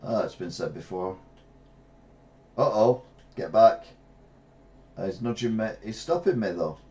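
Excitable English man commentating a race game. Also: lowish voice, unhurried, highs cut off. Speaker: retro gaming streamer. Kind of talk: live gameplay commentary. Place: small room at home, seated in gaming chair.

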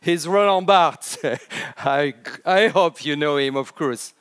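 Slow, clear-voiced French man on stage. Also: on the high side. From France. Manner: animated, chatty, laughs at own jokes, some hesitant restarts. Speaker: conference speaker. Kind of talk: keynote talk on storytelling. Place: large indoor conference stage.

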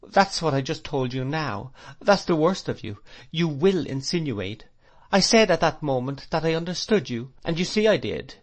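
Male reader voicing a fretful girlish young mother: pleading, high, near tears. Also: very animated, normal speed. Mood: tearful.

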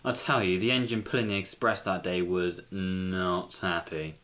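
A man's voice: sits low, steady tone, normal speed, fairly bright tone. A man voicing a chatty, teasing male steam engine. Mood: gossipy teasing.

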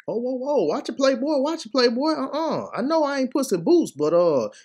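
An excitable, boastful young pet animal acted by a man in a comedy voiceover. The voice is loud, high and swooping, full of slangy exclamations. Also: moderate pace, breathy edge, full character voice. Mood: indignant.

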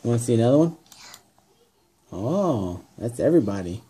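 An adult parent in a small home room, gently asking a toddler questions, echoing the child's answers and laughing softly. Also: mid-range voice, very animated, normal speed, husky. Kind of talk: home video, casual talk with a toddler.

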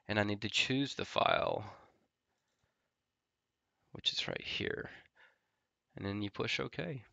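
A man's voice: fairly low pitch, gravelly, no echo, highs cut off. A man with a head cold and a nasal, stuffy voice, casually explaining a tech tutorial with lots of ums while he types commands. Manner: relaxed, filler-heavy explaining while typing commands. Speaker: network engineer tutorial host. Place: home office.

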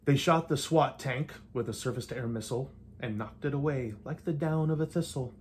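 An older man reads a rhymed picture book aloud in a living room, with measured, theatrical delivery and playful emphasis. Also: mid-range voice, darkish tone. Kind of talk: rhymed storybook read-aloud. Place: indoors in a home living room. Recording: light room noise.

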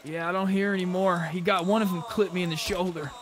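A man's voice: high-pitched, fairly even intonation, fast talker, fairly bright tone. A man chatting casually and informally, with some swearing, as he plays an online roleplay game. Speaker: video game player. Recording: noisy game voice chat.

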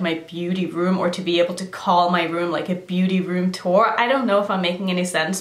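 Chatty woman in her thirties, echoey room. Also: fairly low pitch. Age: 30s. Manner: chatty, lots of "like" fillers. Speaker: beauty vlogger. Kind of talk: casual Q&A vlog. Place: decorated room indoors. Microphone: camera framing head and shoulders.

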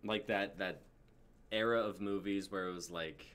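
Deep-voiced young man hosting a stream. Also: moderate pace, a bit bright. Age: 20s. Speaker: stream host. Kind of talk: casual livestream chat. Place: indoor room on a video call.

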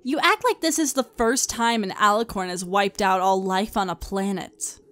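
A woman's voice: mid-range voice, normal speed, fairly bright tone, close to an everyday voice. A woman voicing a nonchalant, teasing young pony princess in a fan fiction audio drama.

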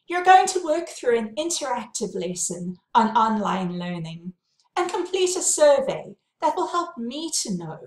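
A woman in her thirties with a South African accent, a university lecturer giving a clear, friendly welcome to her course from a home study, recorded on a webcam. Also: medium pitch, roomy sound. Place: home study with bookshelves, indoors.

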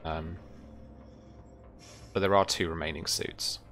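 Deep-voiced young man running a tabletop game. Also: steady tone, fairly bright tone. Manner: halting, thoughtful phrasing. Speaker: game master. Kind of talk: unscripted tabletop game narration. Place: indoor room, online video call. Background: faint music.

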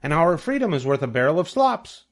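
A man voicing a bossy, excitable female farm goose in a storybook, urgently giving orders and repeating phrases. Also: a little high, fast talker, pitched above the performer's own voice. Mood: pleading, scolding. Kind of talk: animal voice in storybook narration.

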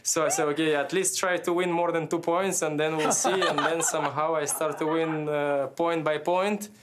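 A man's voice: on the high side, fairly even intonation, normal speed, a little hiss or hum. A man in his twenties, a tennis player, talking through a match after it ends, searching for words, restarting sentences and laughing as he goes, heard over a remote link from a press room. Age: 20s. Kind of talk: post-match interview. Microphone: remote video link, head and shoulders.

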